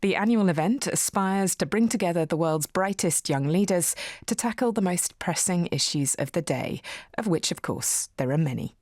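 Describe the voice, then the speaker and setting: A woman's voice: on the low side, expressive, moderate pace, a little mellow, no room sound, studio quality. A woman hosting a news podcast, presenting items and questioning a reporter in a wry, playful way. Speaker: podcast host.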